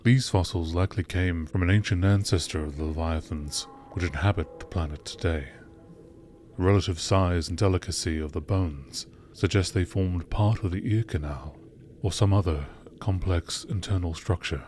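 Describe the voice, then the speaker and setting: A man's voice: sits low, normal speed, darkish tone. A man reading scripted narration in the style of a nature documentary. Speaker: narrator reading game lore entries. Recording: clearly noisy background ambience.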